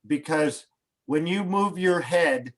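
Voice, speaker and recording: A man hosting, talking casually and haltingly over a video call, coaching a guest before a show. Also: on the high side, a bit bright. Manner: hesitant, restarts, coaching tone. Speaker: host.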